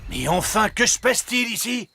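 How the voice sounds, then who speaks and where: A man's voice: high voice, lively intonation, moderate pace, very noisy. A man voicing a genial, pompous and slightly muddled fairy king father in a children's cartoon dub. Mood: surprised, jovial.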